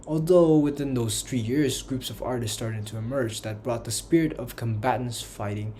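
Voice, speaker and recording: A man narrates a history lesson from a script, reading dates and names in careful textbook phrasing. His pitch sits at an everyday middle level. A faint hiss runs under the voice. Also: moderate pace, mellow. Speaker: student narrator.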